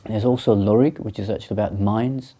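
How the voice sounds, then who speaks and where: A man in his thirties, from Sri Lanka and raised in England, a Buddhist monk answering interview questions thoughtfully, with many pauses and restarts. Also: lowish voice, fairly even intonation, warm tone. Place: small indoor room by a shrine.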